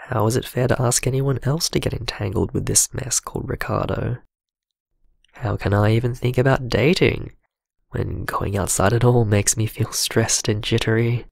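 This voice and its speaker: A man's voice: medium pitch, creaky, a little mellow, dry recording. A man playing an anxious, self-doubting man in an audio drama, speaking hesitantly with frayed nerves. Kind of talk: audio drama voicemail acting.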